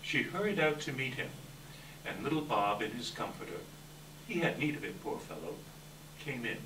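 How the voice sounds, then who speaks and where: Echoey noisy hall recording, low voiced guy doing measured dramatic story narration. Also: very animated. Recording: distant echoey hall, clear background noise.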